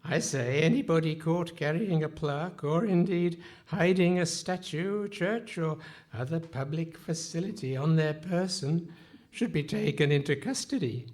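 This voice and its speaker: A woman's voice: low voice, a little slow, darkish tone. A woman playing an eccentric, pedantic member of parliament in a comic radio play, pompous and quibbling. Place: hall stage before a live audience.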